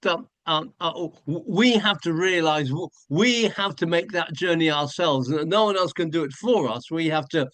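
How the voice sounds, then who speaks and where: A man's voice: on the high side, normal speed, few pauses, fairly bright tone, no echo. A man hosting a podcast interview, asking questions hesitantly and restarting often. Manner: hesitant, many fillers and restarts. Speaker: podcast host. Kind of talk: conversational interview.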